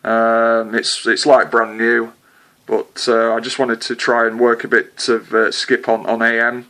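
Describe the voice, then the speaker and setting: A man's voice: mid-range voice, completely flat delivery, unhurried, pushed and bright. A man chatting hesitantly with a distant contact as a CB radio operator, with lots of filler sounds. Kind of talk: casual radio contact chat.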